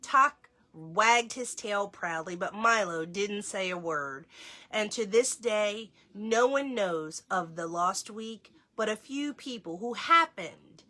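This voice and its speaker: A woman reading a children's story aloud as host, in an expressive storytelling style. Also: mid-range voice, slow pace.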